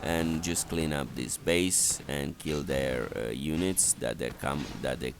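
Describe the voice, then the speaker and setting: A man with a very deep, creaky voice narrates a game walkthrough. He speaks slowly and haltingly, laying out instructions one step at a time. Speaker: video game walkthrough commentator. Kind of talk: instructional strategy game commentary. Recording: clearly noisy voice recording.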